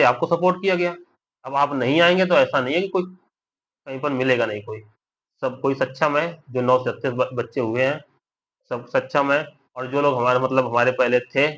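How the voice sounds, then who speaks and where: A man's voice: mid-range voice, fairly bright tone, a little hiss or hum. A young man giving informal commentary straight to his audience, repeating points and often checking that listeners follow. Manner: repetitive, with frequent check-in tag questions. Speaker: exam-guidance video creator. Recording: dull lo-fi audio.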